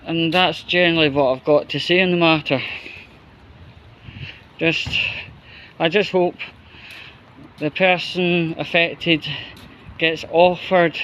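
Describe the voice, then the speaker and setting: A Scottish man giving an unscripted opinion monologue as a vlogger, in halting, broken phrases with repetition. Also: on the high side, a bit bright, dull audio, a little hiss or hum.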